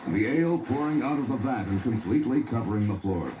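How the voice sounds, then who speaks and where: Male storyteller, medium pitch, animated, scripted children's narration, roomy vintage recording. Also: fast talker, lo-fi sound, noisy background. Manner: expressive storytelling. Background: music.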